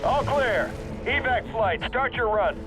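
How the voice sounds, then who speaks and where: A tough soldier in a sci-fi war game. His voice is pitched high for a man as he barks blunt battlefield calls over loud music and vehicle noise. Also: lively intonation, slow, full character voice. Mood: urgent. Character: gruff, tough male marine sergeant in combat. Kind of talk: scripted video game dialogue.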